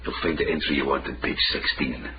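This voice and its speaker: An actor playing a shrewd, weary investigator in a period radio drama. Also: male voice, rumbling low, fairly even intonation, brisk. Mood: cool, probing. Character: shrewd, weary man investigating intrigue in old Edinburgh. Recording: dull, very noisy vintage radio recording.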